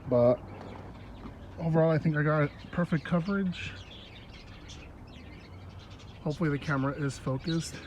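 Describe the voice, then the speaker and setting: A man's voice: fairly high pitch, a little fast, a little mellow, slight background noise. A congested man chatting casually as he works on his car outdoors, filming on a phone, laughing often. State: congested, stuffy nose. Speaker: car hobbyist vlogger. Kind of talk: casual how-to vlog narration. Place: outdoors in a yard. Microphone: phone held while working.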